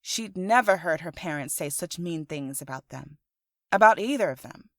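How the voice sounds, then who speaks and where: A woman's voice: fairly low pitch, lively intonation, moderate pace, no echo, studio quality. A woman reading scripted audiobook narration as the storyteller of a fiction podcast.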